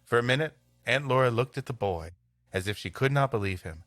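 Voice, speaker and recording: A man's voice: mid-range voice, expressive, fairly quick, a bit bright, no echo. A man hosting a comedy show, narrating an old storybook tale and chatting between passages. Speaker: host and story reader.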